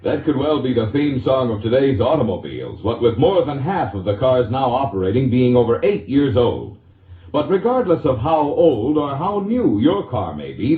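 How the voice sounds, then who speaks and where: An American man reading scripted 1940s radio advertising as an announcer, with a polished, emphatic salesman delivery. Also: mid-range voice. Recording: vintage radio recording, roomy echo, muffled lo-fi.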